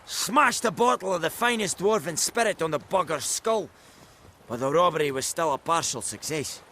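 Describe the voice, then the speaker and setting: Casting: hapless talkative trader begging help, higher-set voice, theatrical delivery, game scene with light background noise. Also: male voice, expressive, moderate pace, sharp tone. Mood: aggrieved, dramatic. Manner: theatrical, wordy. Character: wordy, down-on-his-luck male travelling merchant, pleading.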